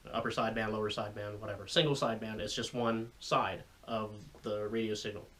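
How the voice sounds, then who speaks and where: A man's voice: lowish voice, fairly even intonation, a little fast, fairly bright tone. Folksy American man, informal explainer. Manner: folksy, rambling, conversational asides. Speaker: radio hobbyist video host. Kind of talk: informal explainer talk to viewers.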